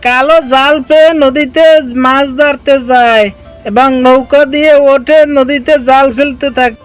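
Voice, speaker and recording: A woman's voice: high-pitched, fairly even intonation, measured pace, soft background music. A woman reading scripted narration for a children's story.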